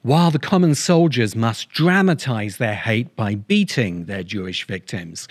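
Middle-aged man, expressive essay narrator. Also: medium pitch, no echo, studio quality. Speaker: host of a science fiction video essay channel. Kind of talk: scripted video essay narration. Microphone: large microphone close in front of him.

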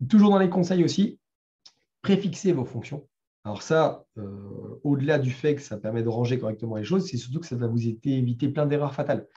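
A man's voice: medium pitch, dark tone, lo-fi sound. A middle-aged man with a French accent giving a conversational talk at a developer meetup, full of fillers and restarts. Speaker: meetup speaker. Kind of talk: live talk with screen demo.

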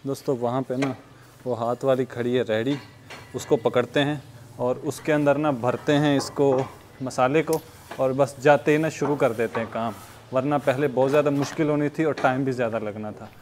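A cheerful young man from Pakistan talks through his day in a relaxed vlog style, often breaking into light laughter. He speaks at a medium pitch into a clip-on mic outdoors. A faint hum of open-air ambience sits behind him. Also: moderate pace. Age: young adult. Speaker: vlogger. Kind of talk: casual vlog narration. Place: outdoors, farmland and building site. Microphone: lapel mic.

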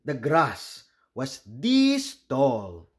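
A man's voice: medium pitch, huge pitch swings, extremely slow, heavily acted. A man voicing an eager, chatty young girl monkey in a storybook, asking things in an earnest, childlike way. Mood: excited. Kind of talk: storybook character voice.

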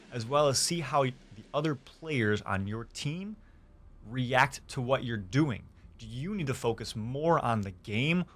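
A young man, a gaming YouTuber, delivering a scripted how-to guide in a deliberate, emphatic way, listing his points into a close studio mic. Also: mid-range voice, pushed and bright. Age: young adult. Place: small home streaming room, indoors. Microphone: close studio mic on desk. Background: background music.

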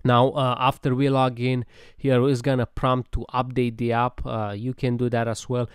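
A man's voice: mid-range voice, level tone, moderate pace, smooth, no echo. A man narrating a casual app tutorial, hesitating with many fillers, recorded close to the mic. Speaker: tech tutorial host.

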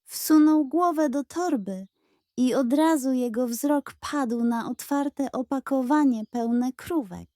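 Slow, soothing woman storyteller. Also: high voice, dry recording, studio quality. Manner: slow, gentle, expressive. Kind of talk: bedtime story narration.

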